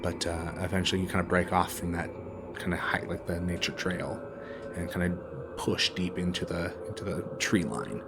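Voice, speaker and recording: Deep-voiced man narrating a horror game. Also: gravelly. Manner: halting, casual phrasing with many filler words. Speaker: game master. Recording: music behind the voice. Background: background music.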